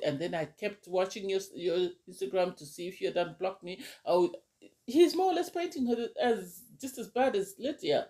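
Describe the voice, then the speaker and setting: A woman's voice: medium pitch, darkish tone. Opinionated woman with a sore throat. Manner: opinionated, exasperated questioning. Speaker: reality TV reviewer. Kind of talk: casual video review.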